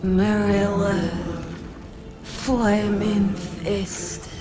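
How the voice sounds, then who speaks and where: A dead female soldier speaking as a corpse in a fantasy game. Her mid-pitched words come extremely slowly, in empty fragments, with music clearly behind them. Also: flat delivery. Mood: lifeless, halting. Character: dead woman soldier's corpse answering haltingly.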